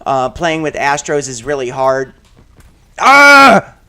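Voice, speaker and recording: A man with a slightly high, raspy voice talks through a shooter game session in a loose, rambling way. He piles on fillers and restarts, and breaks off into loud shouts at the action. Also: big pitch swings, slow. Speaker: gaming channel host. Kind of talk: casual commentary while playing a shooter game.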